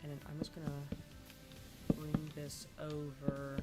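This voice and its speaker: A live streamer chatting casually while playing a building game, sounding congested, hesitant with many ums and restarts, and clearing the throat often. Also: medium pitch, monotone, slow pace. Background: game music.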